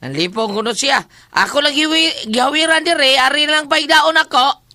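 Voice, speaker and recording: A man playing a loud, evasive, defensive character on a phone call in an audio drama. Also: extremely high pitch, moderate pace, no room sound, full character voice.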